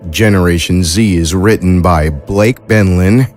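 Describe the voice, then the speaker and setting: A man's voice: deep voice, a little slow, a little mellow, a little hiss or hum. A man announcing audio drama credits with playful, folksy showmanship.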